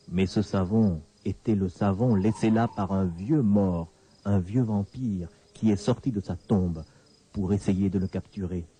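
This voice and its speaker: Deep, very dark-voiced male storyteller. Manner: measured, deliberate phrasing with pauses. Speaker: narrator. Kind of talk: dramatic radio storytelling. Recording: dull lo-fi radio recording. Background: clear music bed.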